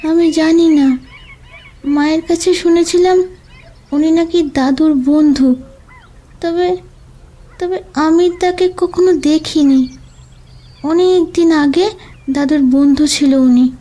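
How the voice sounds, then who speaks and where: A woman acts out a little girl with a high, soft mellow voice. She speaks slowly and hesitantly, doubling back on words with innocent earnestness, as in an audio story. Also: steady tone. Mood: unsure, innocent. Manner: halting, repeats words as she explains. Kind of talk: audio drama acting.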